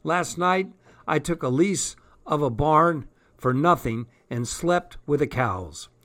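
A man reading aloud and voicing a frail eighteen-year-old tramp boy with a husky voice. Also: on the high side, expressive, slow, a bit bright, no echo. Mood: knowing.

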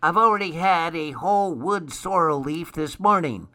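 A man voicing an excitable little storybook elf, earnest and protective, full of exclamations. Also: on the high side, normal speed, pitched above the performer's own voice. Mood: excited news.

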